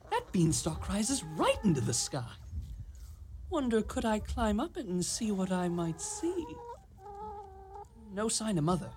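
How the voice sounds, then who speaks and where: A woman acts out an eager little boy in a radio drama. The delivery is lively and swooping, earnest and a touch theatrical, full of pluck. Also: fairly low pitch, slight background noise. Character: small boy, eager, plucky, hungry adventurer. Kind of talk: radio play acting.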